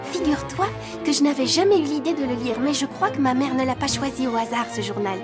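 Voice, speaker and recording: Cartoon young girl, played by a woman: dreamy, spirited, earnest, high voice, music clearly behind. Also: quick pace, close to an everyday voice. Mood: solemn resolve. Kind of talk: dubbed French cartoon acting.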